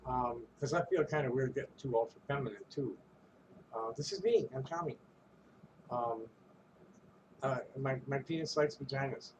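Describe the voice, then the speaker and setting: A man of ordinary middle pitch vents straight to camera in a loose, rambling rant. He curses freely, trips over restarts and breaks into little chuckles, with a faint hum of noise behind him. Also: moderate pace, long pauses. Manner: rambling, profane, many restarts and stumbles; chuckles between phrases. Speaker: vlogger.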